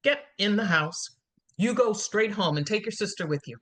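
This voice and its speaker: A woman's voice: mid-range voice, expressive, normal speed, fairly bright tone, lightly acted. A woman playing a blunt, bossy, worried mother in a screenplay reading. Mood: scolding. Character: scolding, worried mother of a troubled boy. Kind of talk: live staged screenplay reading.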